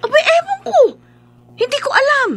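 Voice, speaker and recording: A woman's voice: very high-pitched, expressive, moderate pace, pushed and bright, heavily acted. A woman playing a dutiful, anxious young married daughter in a horror radio drama, speaking expressively. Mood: alarmed.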